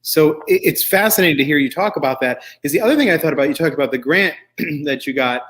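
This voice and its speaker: A man with a slightly high voice hosts a casual conversation. He sounds appreciative and encouraging, chatting through his points informally as he draws out his guests. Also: lively intonation, quick pace, fairly bright tone. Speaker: talk show host.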